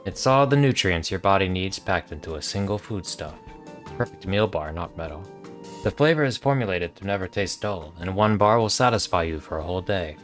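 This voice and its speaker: Low-voiced man reading game dialogue over music. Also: brisk. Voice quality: monotonous. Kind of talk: read-aloud of video game dialogue with casual asides.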